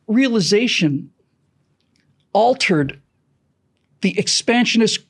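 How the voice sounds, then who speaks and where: Male podcast guest, American, high gravelly voice, emphatic explainer with a dramatic storytelling bent. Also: lively intonation, slow pace. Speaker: podcast creator being interviewed. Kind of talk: animated explanatory interview talk.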